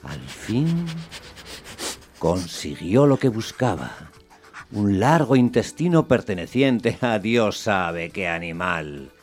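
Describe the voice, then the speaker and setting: An actor narrating a radio play as a measured storyteller, in a deliberate, literary, descriptive way. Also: male voice, on the low side, big pitch swings, faint music behind. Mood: wry, measured. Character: measured male storyteller, wryly observing a small dog.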